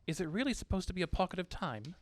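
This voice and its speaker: A man's voice: sits high, sing-song, fast, no echo. A man acting as an earnest, inquisitive doctor in a radio play. His delivery rises and falls a lot, always questioning, in a close dry sound. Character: inquisitive, puzzled doctor, the professor's companion. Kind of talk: scripted audio drama acting.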